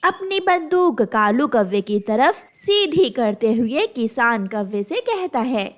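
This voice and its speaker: A woman's voice: on the high side, sing-song, slow pace. A woman storyteller reading scripted narration for a children's cartoon tale.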